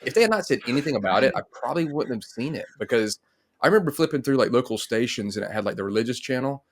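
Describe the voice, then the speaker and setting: A man's voice: mid-range voice, expressive, fast. A middle-aged man, hosting a casual interview. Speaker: host. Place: indoor home room, on a video call.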